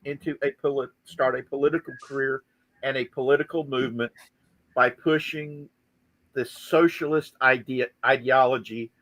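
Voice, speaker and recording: A man's voice: medium pitch, steady tone, normal speed, a bit bright, dry recording, poor audio. A man with a Southern US accent who calls himself a redneck, co-hosting a casual political chat with folksy, crude jokes.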